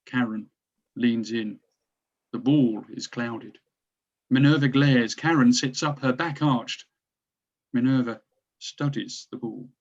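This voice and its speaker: A man's voice: medium pitch, slow pace, long pauses. A man narrating stage directions from a drama script, recorded on dull, lo-fi audio.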